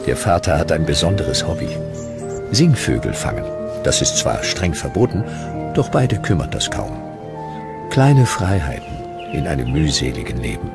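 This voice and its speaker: A man narrating a scripted documentary with dramatic, deliberate storytelling. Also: rumbling low, very animated, gravelly, loud music behind the voice.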